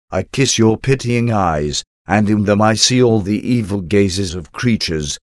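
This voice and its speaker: Low-voiced man reading a prayer. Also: no echo, studio quality. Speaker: devotional reader. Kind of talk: reading a devotional prayer aloud.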